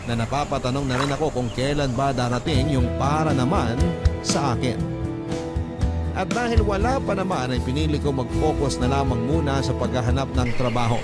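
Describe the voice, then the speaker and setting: A Filipino man narrates in the manner of a radio love-story host, reading a script with drama. His voice sits at a middle pitch. Loud music plays underneath him throughout. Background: loud background music.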